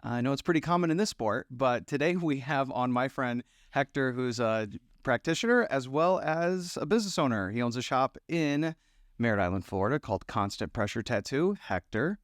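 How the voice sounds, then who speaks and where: A man's voice: medium pitch, animated, moderate pace, no echo. Easygoing male podcast host, dry sound. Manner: friendly, easygoing questioner. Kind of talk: casual interview chat.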